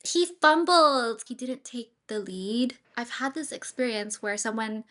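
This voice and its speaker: A woman's voice: a little high, lively intonation, a little slow, a bit bright. A woman of thirty giving casual, opinionated commentary in a conversational way, as a host reacting to stories.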